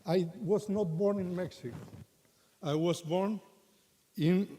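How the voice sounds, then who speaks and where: A man's voice: sits high, takes it slowly, mellow. A man from Argentina, giving an earnest conference speech as a Buddhist monk, repeating himself and restarting phrases. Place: large conference hall. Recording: hall reverb with faint noise.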